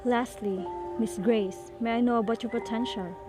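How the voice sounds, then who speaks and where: Female investigator for radio drama, woman actor: composed, probing, higher-pitched, music behind. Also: fairly even intonation, moderate pace, close to an everyday voice. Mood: firm. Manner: formal, probing interview questions. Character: composed female investigator questioning gifted students. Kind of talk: amateur radio drama acting.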